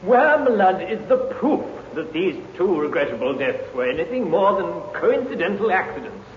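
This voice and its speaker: Pompous old radio defense lawyer, indignant, echoey and tinny. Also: male voice, high-pitched, big pitch swings, normal speed, slight background noise. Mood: indignant, oratorical. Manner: pompous, rhetorical. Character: indignant, oratorical male defense counsel. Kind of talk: 1950s radio drama acting.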